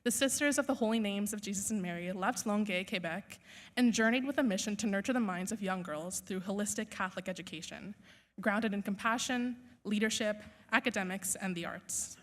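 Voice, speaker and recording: A young woman whose first language is Urdu speaks at a podium mic on a school stage. Her delivery is earnest and measured, and she builds her points through rhetorical lists. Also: medium pitch, fairly bright tone. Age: young adult. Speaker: valedictorian. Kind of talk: formal graduation speech.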